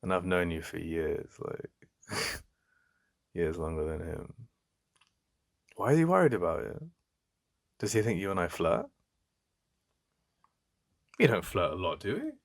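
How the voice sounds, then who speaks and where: Male actor as protective, jealous English best friend for ASMR roleplay; very low, creaky voice, halting and intimate. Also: big pitch swings, full character voice. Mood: hurt, incredulous. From England. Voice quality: deep. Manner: halting, conversational, emphatic repetition.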